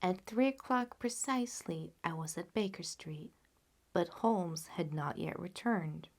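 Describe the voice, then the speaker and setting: A woman's voice: fairly low pitch, gravelly. A woman reading a classic story aloud as scripted audiobook narration. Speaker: audiobook reader.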